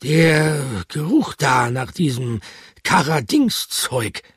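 A man voicing a gentle, wistful male pet hamster who speaks with a lisp, as in a storybook read aloud. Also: medium pitch, lively intonation, takes it slowly, husky, no echo, clean studio sound, pitched above the performer's own voice, exaggerated voice. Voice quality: lisping.

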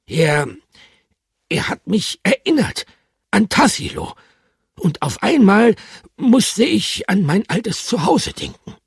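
A man voicing a gentle, wistful male pet hamster who speaks with a lisp, as in a storybook read aloud. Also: fairly high pitch, sing-song, a little slow, husky, no echo, studio quality, voice pushed up, heavily acted. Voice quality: lisping.

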